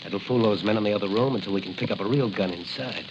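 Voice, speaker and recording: An actor playing a righteous crime-fighting hero in a declamatory, commanding 1940s radio style. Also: male voice, fairly low pitch, fast talker, natural voice. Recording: hissy, dull 1940 radio recording.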